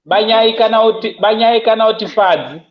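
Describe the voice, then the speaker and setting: A man's voice: high voice, fairly quick. Playful man on a roomy call. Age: 30s. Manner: playful, teasing, laughs often. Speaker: livestream host. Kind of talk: casual birthday livestream chat. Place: indoors at home, seated on a couch. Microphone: earphone headset mic on a video call. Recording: roomy, dull lo-fi video call audio.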